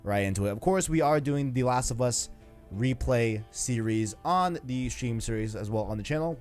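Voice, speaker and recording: A man's voice: fairly low pitch, darkish tone. A man hosting a video game discussion show, chatting casually in a rambling way full of verbal tags like "you know". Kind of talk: casual chat.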